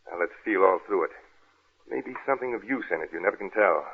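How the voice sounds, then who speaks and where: An actor playing a commanding, impatient gentleman in an old radio drama, brisk and authoritative. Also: deep voice, lively intonation. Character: commanding, impatient gentleman leading his servant through darkness. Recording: noisy, tinny old radio recording.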